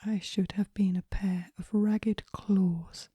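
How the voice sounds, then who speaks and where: A woman reads poetry for relaxation in a soft, breathy whisper, with a gentle, measured, hushed delivery in ASMR style. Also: medium pitch, flat delivery, no echo. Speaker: poetry reader.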